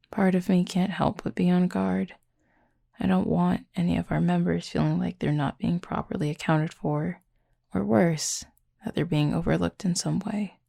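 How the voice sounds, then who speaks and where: A woman voicing a confident, flirty werewolf pack leader in an intimate audio roleplay, with a husky, breathy, gravelly voice and a teasing, sardonic drawl. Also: on the low side, dark tone, no room sound, clean studio sound, exaggerated voice. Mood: guarded, earnest.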